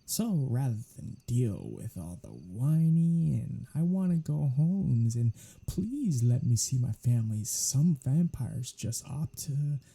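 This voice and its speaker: A performer playing a snarky, casually menacing vampire in a soft-spoken ASMR audio roleplay, teasing and sardonic, with a breathy, husky voice. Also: medium pitch, full character voice. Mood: wry explaining.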